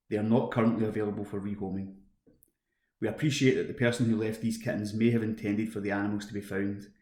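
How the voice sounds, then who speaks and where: A man's voice: fairly low pitch, a little mellow. A man reading local newspaper stories aloud from a script, recorded at home. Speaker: volunteer newspaper reader. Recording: roomy, echoing home recording.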